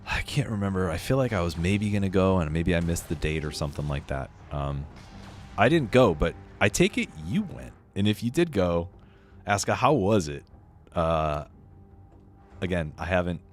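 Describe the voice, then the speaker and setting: Very deep-voiced man streaming a game. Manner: laid-back, chatty, lots of fillers. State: slightly hoarse and sniffly from a cold. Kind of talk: casual live-stream commentary. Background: faint game music.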